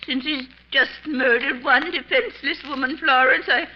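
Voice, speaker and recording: A woman's voice: high-pitched, moderate pace, lightly acted. An actress playing a wry amateur sleuth lady, timid under threat, speaking drily in a 1950s radio mystery. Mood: nervous. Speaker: radio drama actor. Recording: muffled, noisy 1950s radio recording.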